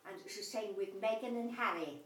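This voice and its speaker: A woman speaking at a middle pitch, animated and indignant, acting out quoted speech. She sounds far from the mic in a roomy space with a faint hiss behind her. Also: a little fast. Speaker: audience member. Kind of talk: group discussion. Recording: distant and roomy, faint background noise.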